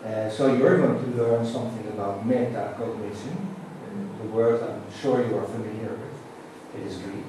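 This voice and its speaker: A man from the Netherlands with a Dutch accent, a university teacher giving a halting, informal introduction to a group. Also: lowish voice, steady tone. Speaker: university teacher of pedagogical philosophy. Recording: very echoey room, distant mic, noisy.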